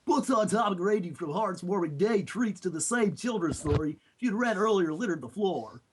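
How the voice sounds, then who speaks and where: A man's voice: high voice, animated, normal speed, a little hiss or hum, rough recording. A man voicing a nerdy cartoon turtle who earnestly narrates a storybook tale. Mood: earnest narrating. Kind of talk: scripted storybook narration read in a character voice.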